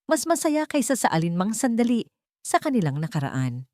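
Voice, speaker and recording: A woman's voice: on the high side, big pitch swings, normal speed, darkish tone, dry recording, studio quality. A woman reading a scripted romantic story in a dramatic, sentimental way. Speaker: story narrator.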